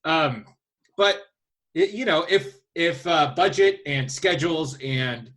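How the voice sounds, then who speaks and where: A man hosting a podcast in casual chat, talking in a halting, thinking-aloud way. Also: a little high, expressive, fairly bright tone. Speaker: podcast host.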